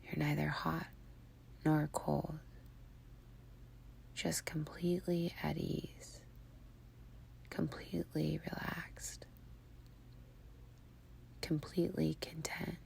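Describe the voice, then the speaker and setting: Female meditation guide: low, very flat pitch, breathy near-whisper, soothing and unhurried, faint background noise. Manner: soothing, hushed, unhurried phrasing with long pauses.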